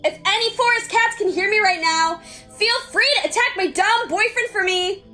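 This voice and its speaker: A woman voicing an excitable, reckless young female cat in an animated comic dub, with a bubbly, theatrical, teasing manner. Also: extremely high pitch, normal speed, faint music behind. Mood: excited teasing. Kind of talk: comic dub character acting.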